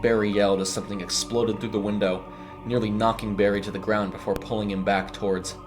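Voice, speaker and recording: A man's voice: on the low side, little pitch movement, quick pace. A man reading a scripted horror story as narrator, in a dramatic storytelling style. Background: music.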